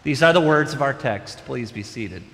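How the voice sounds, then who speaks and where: A middle-aged man preaching a sermon from the pulpit, animated and conversational. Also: medium pitch, normal speed. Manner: animated preaching with wide gestures, moving about behind the pulpit. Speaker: pastor. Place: large church sanctuary. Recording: long church reverb.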